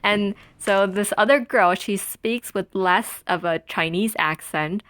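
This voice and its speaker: A woman in her twenties from Taiwan, chatting and telling stories while playing a video game, rambling with many pauses. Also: medium pitch, a bit bright, slight background noise. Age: 20s. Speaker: let's play gamer.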